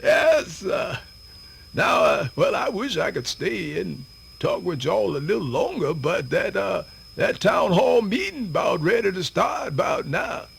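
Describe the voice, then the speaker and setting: Radio play lead: a centenarian American yarn-spinner, spirited and folksy, bright gravelly voice, dramatic drawn-out delivery. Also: male voice, high-pitched, very animated, slight background noise, full character voice. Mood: folksy, rambling. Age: older. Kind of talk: theatrical radio monologue, folksy oral storytelling.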